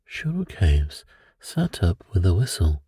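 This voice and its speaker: Male narrator, low and mellow, slightly husky, unhurried soothing bedtime storytelling, dry close sound. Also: big pitch swings, no echo. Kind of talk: slow, calm scripted narration of a classic detective story.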